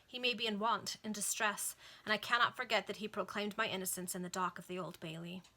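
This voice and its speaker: A woman reader voicing a gentle, dignified Victorian lady in her twenties, refined and earnest, in a storybook reading. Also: mid-range voice, steady tone, fairly quick. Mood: resolute, compassionate.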